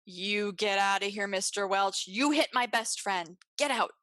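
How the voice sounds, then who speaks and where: A woman's voice: medium pitch, measured pace, bright, forceful tone, no echo, studio quality. A woman playing an anxious, protective and loyal bar keeper in an old-time radio play.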